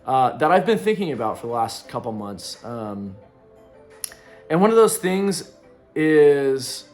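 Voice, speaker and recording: A man's voice: mid-range voice, big pitch swings, unhurried, a little mellow, faint music behind. A man of thirty talking loosely to camera in a casual reflective vlog, rambling with plenty of ums and likes. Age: 30s. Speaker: vlogger. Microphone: camera in front of him.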